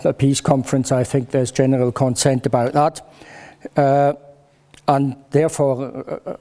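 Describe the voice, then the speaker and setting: Slow, halting man presenting. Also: medium pitch, dark tone. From Switzerland. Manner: halting, hesitant delivery with repeated false starts. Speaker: former military advisor, conference speaker. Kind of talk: prepared conference presentation.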